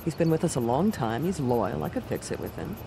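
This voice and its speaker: An actress playing an imperious, manipulative commander's wife in an audio drama, haughty and theatrical. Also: female voice, sits low, sing-song, a little fast, creaky, noisy background, exaggerated voice. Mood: insinuating, persuasive.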